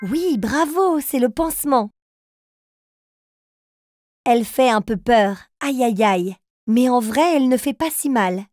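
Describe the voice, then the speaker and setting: A woman's voice: fairly high pitch, expressive, takes it slowly, long pauses, darkish tone, no echo, faint music behind. An actress playing a patient, encouraging female teacher who talks to young children in a preschool cartoon. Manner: patient, encouraging, clearly addressing small children.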